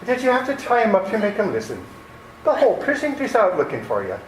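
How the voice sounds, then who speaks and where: A man's voice: high-pitched, huge pitch swings, quick pace. A man playing a brash, exasperated police lieutenant in an amateur radio play. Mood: bossy. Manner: brash, incredulous. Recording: echoey room with faint noise.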